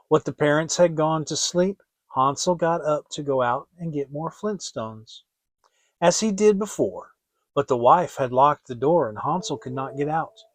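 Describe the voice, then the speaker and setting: Male audiobook narrator: expressive, theatrical fairy-tale delivery, voice a bit higher than average, very dry sound. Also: dry recording. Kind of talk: dramatic fairy tale reading, scripted narration.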